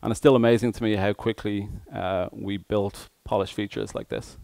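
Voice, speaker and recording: A man giving a tech conference presentation as an engineer, speaking hesitantly with frequent pauses mid-phrase. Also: on the low side, fairly even intonation, gravelly, a little mellow, no room sound.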